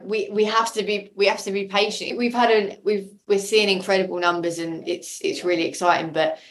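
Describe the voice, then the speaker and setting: A woman's voice: mid-range voice, fairly even intonation, fairly quick, a little hiss or hum. A woman chatting enthusiastically and casually as a guest in an interview. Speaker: podcast guest, audio drama creator.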